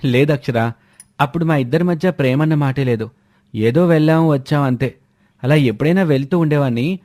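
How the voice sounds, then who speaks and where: A man voicing a calm, good-natured young army man and husband in a spoken moral story. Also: on the high side, a little fast, close to an everyday voice. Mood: recounting.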